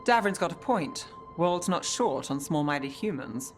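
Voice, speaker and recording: An actress playing a wry, cool, world-weary adventurer in a video game cutscene. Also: female voice, deep voice, expressive, a little slow, soft background music.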